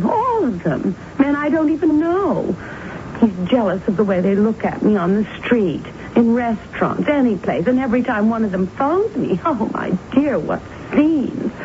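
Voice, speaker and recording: An actress playing a vain, pushy, flirtatious married woman with a breezy, theatrical manner in a radio drama. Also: fairly high pitch. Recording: dull, noisy 1970s radio recording.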